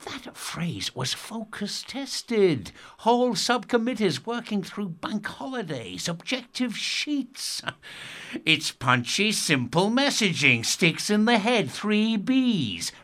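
A sardonic male radio presenter in a dark comedy drama. His voice is bright and forceful and swings widely in pitch, with a theatrical, condescending edge. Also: very animated, takes it slowly, heavily acted. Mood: exasperated, sarcastic. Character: pompous, sarcastic male radio presenter in a dystopia. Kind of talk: darkly comic audio drama acting.

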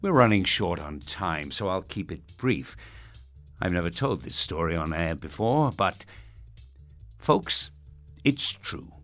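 An Irish actor playing a self-important, manipulative radio host and politician, telling stories in a pompous, theatrical way. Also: male voice, low-pitched, sing-song, slow, pauses often, fairly bright tone, faint music behind, exaggerated voice. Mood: boastful, showy. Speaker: actor in an audio drama. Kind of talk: audio drama acting as a radio host.

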